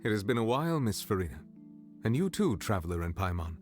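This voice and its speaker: A stately, composed judge with a very deep, low voice, measured and courteous in every phrase. Faint game music sits behind him. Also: male voice, big pitch swings. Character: stately, composed chief justice, calm and formal.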